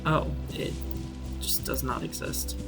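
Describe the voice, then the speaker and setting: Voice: takes it slowly, music in the background. A game streamer giving casual, offhand commentary during a playthrough.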